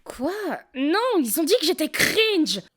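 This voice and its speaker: A woman playing a whiny, indignant young retail worker and internet geek in an animated comedy dub, with exaggerated delivery. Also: sits high, a little slow, background noise.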